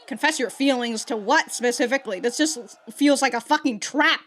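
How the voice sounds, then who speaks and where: A woman giving casual, slangy reaction commentary as the host of a video, in an animated, informal way. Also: sits high, normal speed, a little hiss or hum.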